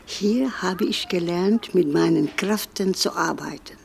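A woman reading her own autobiographical poem and text in a slow, meditative way. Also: on the low side, a little hiss or hum. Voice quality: gravelly, husky.

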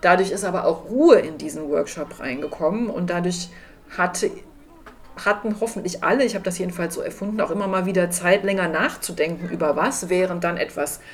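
A woman's voice: on the low side, a little fast, slight background noise. A woman workshop participant reflecting in a hesitant, thoughtful way. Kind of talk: reflective interview remarks.

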